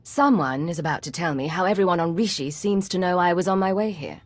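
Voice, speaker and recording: Commanding female agent for a game, woman acting: cool menace, firm, slightly low voice, close dry sound. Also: brisk, dry recording, lightly acted. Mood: cool threat. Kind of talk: video game character acting.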